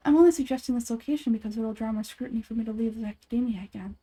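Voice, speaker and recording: A woman's voice: a little high, very fast, mellow, lightly acted. A woman voicing an earnest, guarded scholar's assistant in a video game, serious and careful. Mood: reassuring.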